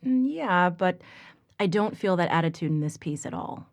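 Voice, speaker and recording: A candid, analytical researcher in a game audio log. Her voice is a little low and she talks in a natural, probing, conversational way. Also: lively intonation, normal speed, creaky. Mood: skeptical, candid. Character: frank, analytical female researcher questioning her colleagues.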